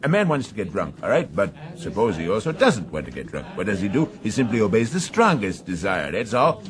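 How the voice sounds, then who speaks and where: An actor playing a domineering, philosophizing sea captain in a radio drama, with a commanding, argumentative manner. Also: male voice, fairly low pitch, sing-song, a little fast, crowd noise. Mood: mocking, argumentative. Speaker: ship's captain.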